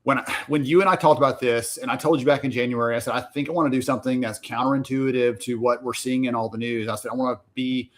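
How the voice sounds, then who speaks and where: A man from the Southern US co-hosts an online event over a video call. He announces plans in a friendly, brisk way and teases his partner now and then. His voice sits at an ordinary middle pitch. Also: fairly even intonation. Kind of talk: casual livestream chat.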